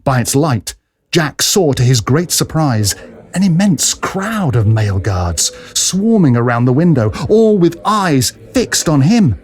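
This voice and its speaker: A man's voice: a little high, very animated, unhurried. A man giving a dramatic scripted narration of a period adventure story. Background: music.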